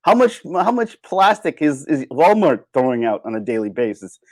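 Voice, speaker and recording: A man giving a casual, opinionated livestream monologue in an animated, sardonic way. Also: mid-range voice, normal speed. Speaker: livestream host.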